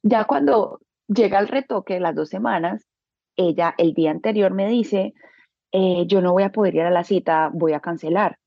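Casting: Colombian woman, husky with vocal fry, colloquial and expressive storyteller in an informal interview. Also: mid-range voice, normal speed, dry recording, highs cut off. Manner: colloquial, expressive, acts out quoted dialogue. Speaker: interview guest, beauty business owner. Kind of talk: casual personal storytelling.

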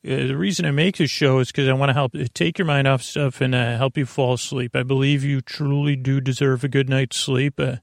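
A man hosting a bedtime podcast in a creaky, gravelly voice, gently meandering through tangents and halting self-corrections to reassure the listener. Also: mid-range voice, fairly even intonation, dry recording, clean studio sound. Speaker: podcast host. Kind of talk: rambling, unscripted bedtime podcast monologue.

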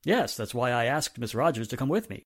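Animated, businesslike police inspector played by a man. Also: medium pitch, fairly quick. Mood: affable. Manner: businesslike, confident. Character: brisk, affable male police inspector, in charge. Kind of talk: 1940s radio drama acting.

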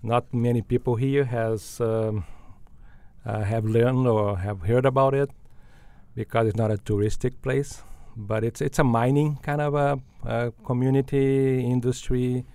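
A man's voice: medium pitch, steady tone, unhurried, darkish tone, no echo. An older man from Brazil, a guest answering interview questions thoughtfully, with frequent fillers and restarts. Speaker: guest, business school dean.

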